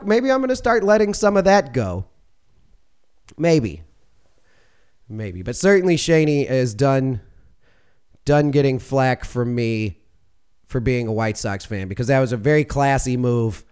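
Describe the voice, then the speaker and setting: A man hosting a podcast, talking in a rambling, casual way, his voice a little hoarse from losing it. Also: medium pitch, a little mellow. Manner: rambling, conversational, with fillers and self-corrections. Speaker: podcast host. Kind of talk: casual monologue.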